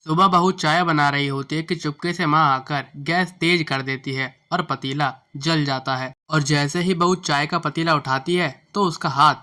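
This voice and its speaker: A man's voice: a little high, fairly even intonation, moderate pace, few pauses, sharp tone. A man narrating a scripted moral bedtime story. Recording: music bed under the voice.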